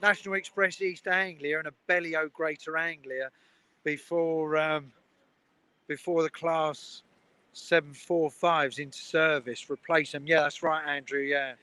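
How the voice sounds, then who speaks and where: Upbeat man hosting an outdoor stream, fairly high, bright and forceful tone. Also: fairly even intonation, unhurried, clean tone, no echo. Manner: upbeat, laughs often, reads viewer comments. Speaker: host. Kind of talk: casual livestream chat. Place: outdoors at a railway station. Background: trains.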